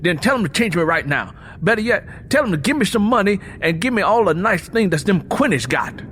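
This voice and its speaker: A man's voice: sits high, big pitch swings, brisk, fairly bright tone, a little hiss or hum, voice pushed up. Man acting out a bitter, hot-tempered farmer. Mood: angry, bitter. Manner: combative, folksy rural speech. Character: proud, bitter, hot-tempered young farmer who drinks. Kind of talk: dramatised audiobook dialogue.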